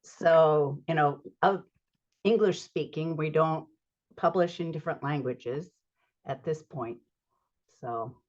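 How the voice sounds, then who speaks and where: A woman in her sixties asking a webinar question in a measured way with pauses, on dull video-call audio. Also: low voice, a little mellow. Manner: measured, deliberate, pausing between phrases. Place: cluttered room at home.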